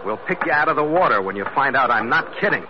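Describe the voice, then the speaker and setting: A man's voice: mid-range voice, expressive, fast, lightly acted. An actor playing a tough, decisive sea captain in a classic radio drama, clipped and hard-boiled as he acts and narrates. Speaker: actor in the lead role. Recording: dull, noisy old radio recording.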